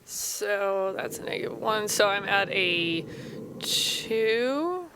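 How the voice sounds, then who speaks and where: A woman playing a tabletop game, casually describing what her character does, recorded with clear background noise. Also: medium pitch, expressive, slow pace.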